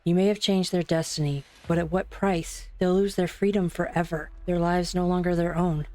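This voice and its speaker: A woman playing a grave, principled scientist with quiet anger in an amateur game fan dub. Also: fairly low pitch, level tone, normal speed, soft background music, natural voice. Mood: grave, urgent.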